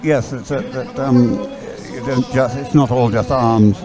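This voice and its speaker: A man giving conversational live sports commentary in a hesitant, halting way, often repeating his words. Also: mid-range voice, fairly even intonation, over music. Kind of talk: live dragon boat race commentary, conversational. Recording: live sports coverage, crowd in background.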